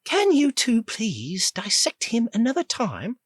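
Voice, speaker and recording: A man voicing a confident, sharp-tongued young woman in an audiobook, with a clipped British accent. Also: extremely high pitch, huge pitch swings, unhurried, husky, no room sound, studio quality, pitched above the performer's own voice, exaggerated voice. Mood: brisk. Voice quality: clipped, bossy.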